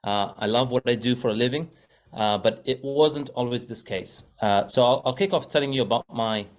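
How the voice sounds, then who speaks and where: A man's voice: medium pitch, normal speed. A man from Zambia, a well-being coach and podcast guest, talking earnestly with many fillers and restarts. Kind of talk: casual podcast interview.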